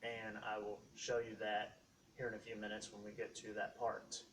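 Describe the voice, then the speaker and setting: A man hosting a casual how-to video, talking through equipment step by step in short, halting phrases. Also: on the low side, completely flat delivery, fairly bright tone. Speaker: host of a how-to tech video series. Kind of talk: casual unscripted product walkthrough. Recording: distant mic, faint background noise.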